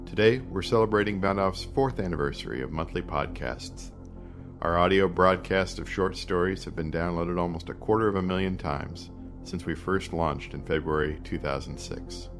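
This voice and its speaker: A man's voice: low-pitched, moderate pace, faint music behind. A man reading a scripted podcast announcement as the host.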